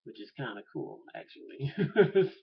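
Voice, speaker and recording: A man's voice: mid-range voice, very animated, moderate pace, slightly rough, lo-fi sound. A man talking casually to camera in an opinion vlog, rambling and thinking aloud with lots of fillers. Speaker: vlogger.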